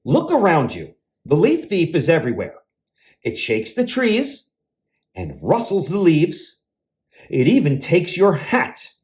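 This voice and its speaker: A man voicing a patient, sensible bird in a children's storybook, reassuring and gently firm with a worried friend. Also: a little high, wildly swooping pitch, a little slow. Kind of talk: children's picture book read-aloud.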